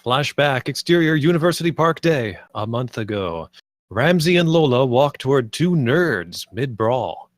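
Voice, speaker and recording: A man's voice: mid-range voice, big pitch swings, unhurried, no room sound, studio quality. A man narrating the stage directions of a script at a table read.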